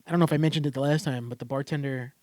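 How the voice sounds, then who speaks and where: A man's voice: mid-range voice, rapid-fire, dry recording. A man co-hosting a podcast, telling stories in casual chat and mimicking people as he talks. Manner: animated storyteller, mimics people. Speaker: podcast co-host.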